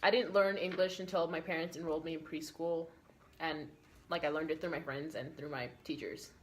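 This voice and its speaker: 21 yr old woman from puerto rico, spanish first language, lowish voice, laid back with lots of likes and ums. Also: brisk, slight background noise. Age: 20s. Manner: relaxed, with many fillers and likes. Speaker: interviewee. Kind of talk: casual interview answers.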